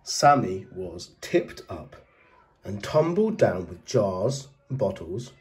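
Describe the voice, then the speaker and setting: A man narrating a children's storybook as a teacher, reading in an expressive, dramatised way. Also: fairly low pitch, takes it slowly, distant mic, a little hiss or hum.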